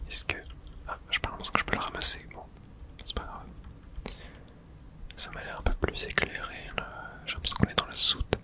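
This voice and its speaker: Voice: moderate pace, pauses often. A person whispering a casual ASMR video game playthrough, muttering to themself as they play. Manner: whispering throughout; casual swearing, muttering to self.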